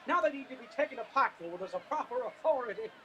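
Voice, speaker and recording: Worked-up appalachian preacher, really high voice all over the place, noisy radio drama. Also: male voice, animated, racing, bright, forceful tone, exaggerated voice. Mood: urgent, pleading.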